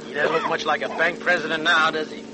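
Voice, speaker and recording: Guy acting wisecracking old radio henchman, callous, slightly high voice, noisy recording. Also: fairly even intonation, fast talker, lightly acted. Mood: mocking. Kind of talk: 1940s radio crime drama acting.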